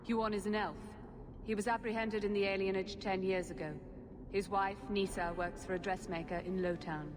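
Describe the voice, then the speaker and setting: An actress playing an emotionless, efficient mage assistant in a video game, formal and precise. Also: female voice, medium pitch, normal speed, lightly acted. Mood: detached, precise. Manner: flat affect, formal, precise. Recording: long hall reverb, clear background noise.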